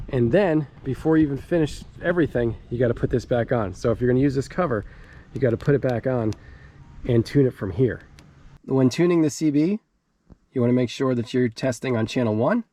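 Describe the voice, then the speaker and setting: A man's voice: mid-range voice, warm tone, slight background noise. A man talking casually and informally as the host of a do-it-yourself car vlog, using plenty of slang as he explains things. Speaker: host of a car DIY channel. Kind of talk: casual how-to vlog talk.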